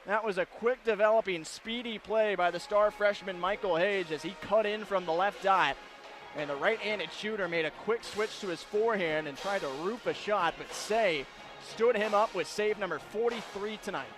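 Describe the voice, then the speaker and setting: A man doing live radio play-by-play commentary of ice hockey, narrating the action in crisp detail from an arena. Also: high-pitched, normal speed, music in the background. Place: broadcast position in an indoor hockey arena. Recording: live broadcast with clear arena noise.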